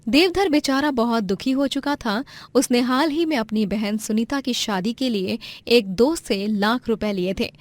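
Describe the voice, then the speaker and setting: ऊँची आवाज़ वाली महिला कथावाचक. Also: female voice, fairly high pitch, no echo. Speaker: narrator. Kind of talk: scripted dramatic story narration.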